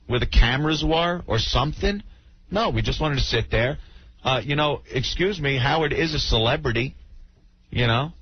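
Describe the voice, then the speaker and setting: Male radio host, sarcastic banter, average pitch, slightly noisy old recording. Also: highs cut off. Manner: sarcastic, indignant, blunt. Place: radio studio.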